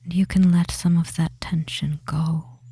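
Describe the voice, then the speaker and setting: A performer voicing a devious, soothing femboy witch in a hypnosis ASMR roleplay, in a soft breathy whisper with a slow, lulling manner and long pauses. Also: mid-range voice, little pitch movement, a little hiss or hum, close to an everyday voice. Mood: soothing, hypnotic. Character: devious soothing witch of the deep wood, feminine young man.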